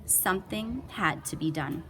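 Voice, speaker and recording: A woman's voice: mid-range voice, lively intonation, unhurried, noisy background. A woman reading a children's picture book aloud with playful, dramatic emphasis and little asides. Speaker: read-aloud storyteller.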